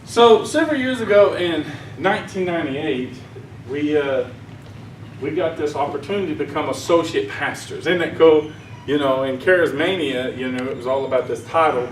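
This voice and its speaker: A man's voice: a little high, normal speed, a little hiss or hum. A man from Arkansas with a Southern accent, giving a rambling, folksy sermon talk at a conference. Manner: folksy, rambling, chuckles at own jokes. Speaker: conference speaker. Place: conference hall. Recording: echoey room, mic heard from far.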